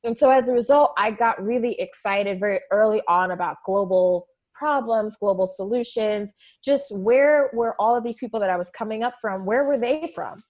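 A woman's voice: on the high side, moderate pace, hardly any pauses, a little mellow. Young woman from Southern California, podcast guest, eager and talkative. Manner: enthusiastic, talkative, laughs often. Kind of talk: casual interview chat.

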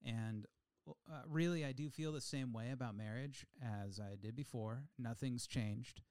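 Male podcast co-host, late thirties, mid-pitched, animated and insistent, close dry sound. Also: unhurried, clear, resonant tone, dry recording. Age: 30s. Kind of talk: casual chat.

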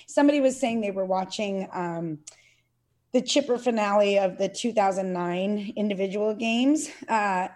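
Earnest woman with hesitant, halting speech. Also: mid-range voice, darkish tone. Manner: earnest, hesitant with many fillers and restarts. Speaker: podcast guest, CrossFit athlete and parent. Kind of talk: casual interview conversation.

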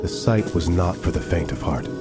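Proud, wistful male android for sci-fi audio drama: low-pitched, formal, articulate, music underneath. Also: lively intonation. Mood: somber, awed. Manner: formal, deliberate, articulate phrasing.

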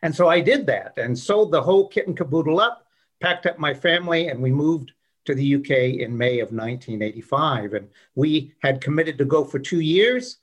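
A man's voice: a little high, animated, normal speed. A man in his sixties, a guest on an interview show, telling his life story in a genial, folksy way. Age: 60s. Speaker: guest, investor.

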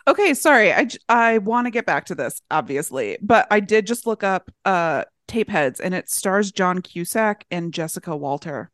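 A woman chats casually as a podcast co-host, playful and joking. Her mid-pitched voice swings widely in intonation, and the sound is close and dry. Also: expressive, moderate pace, few pauses, fairly bright tone, no room sound. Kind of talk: casual chat.

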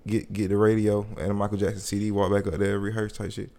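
A young man with a Southern US accent hosting a relaxed podcast. His voice sits fairly low, and he talks in loose slang with frequent restarts. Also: creaky. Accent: Southern US, Atlanta area. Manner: laid-back slang, halting restarts. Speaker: podcast host. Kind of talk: casual chat.

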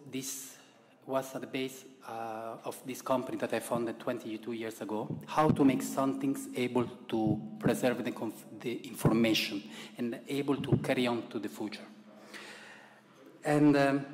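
A middle-aged man with an Italian accent, a publisher giving formal remarks at an event in a halting, measured way with broken grammar. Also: mid-range voice. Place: event room. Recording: long reverb, faint room ambience.

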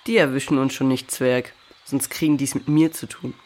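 A woman's voice: very deep voice, steady tone, moderate pace, darkish tone. A woman playing a cheeky, protective youngster on an adventure in a children's radio play, speaking in a casual, blunt way. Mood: cocky.

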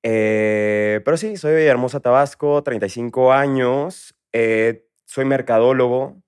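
A man in his thirties from Mexico with a Mexico City accent, a guest in a casual interview, talking in a relaxed, rambling way with many fillers. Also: mid-range voice, unhurried, clean tone. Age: 30s. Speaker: guest entrepreneur.

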